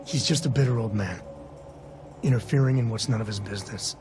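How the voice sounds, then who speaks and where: An actor playing a guarded, weary space cowboy in a video game, speaking in a casual, folksy, reluctant way. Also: male voice, lowish voice, brisk, a little mellow, soft background music, lightly acted. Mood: exasperated.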